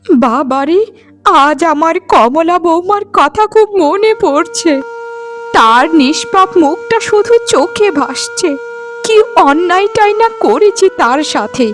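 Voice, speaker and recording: A woman voicing a remorseful, mournful old village mother-in-law in a cartoon, with music clearly behind her. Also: extremely high pitch, animated, takes it slowly, heavily acted. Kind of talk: animated cartoon dialogue.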